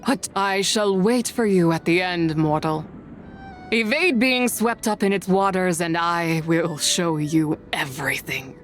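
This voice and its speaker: Actress as a low, slow, imperious immortal. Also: female voice, faint music behind, lightly acted. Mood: ominous threat. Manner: solemn, imperious, archaic formal phrasing. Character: cursed, wrathful ancient immortal woman challenging mortals. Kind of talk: dramatic game voice acting.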